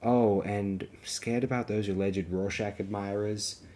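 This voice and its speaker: A man hosting a film review program, giving opinionated, digressive commentary in bookish phrasing with halting, self-interrupting asides. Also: lowish voice, fairly even intonation, a little mellow.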